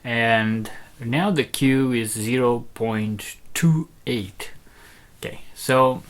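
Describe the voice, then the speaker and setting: A presenter walks through a technical demonstration without a script. The voice sits at a medium pitch and moves very slowly. The delivery is hesitant, broken into short fragments as each step is explained. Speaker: presenter demonstrating audio equipment.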